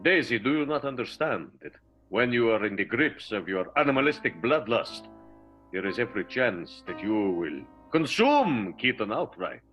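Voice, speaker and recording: An actor playing an earnest, portentous interviewer in an improvised comic radio play. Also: male voice, fairly low pitch, very animated, moderate pace, a bit bright, faint music behind. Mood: dramatic, probing. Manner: theatrical, probing, portentous.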